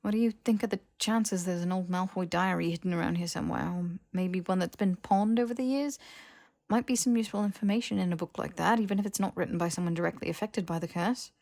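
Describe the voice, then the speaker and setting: A woman voicing a brash, easily exasperated young man in an audiobook, casual, halting and sarcastic. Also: lowish voice, gravelly, a little mellow. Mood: thoughtful.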